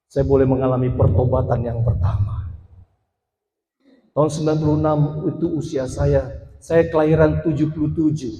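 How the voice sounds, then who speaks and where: A man's voice: a little high, dark tone. A man in his forties giving a personal testimony as a sermon to a congregation, addressing them directly and building to emphatic passages. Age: 40s. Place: church. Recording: echoey hall with long reverb.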